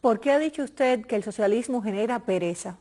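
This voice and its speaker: A woman's voice: a little high, a little fast, dark tone. A woman hosting a political interview in a conversational broadcast style, engaged and prompting her guest. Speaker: interview host.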